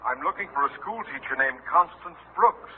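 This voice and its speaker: An actor playing a pompous, irritable middle-aged school principal, stern and clipped, in 1950s radio comedy style. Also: male voice, on the high side, animated, moderate pace, close to an everyday voice. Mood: formal, puzzled. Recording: tinny old radio recording, faint noise.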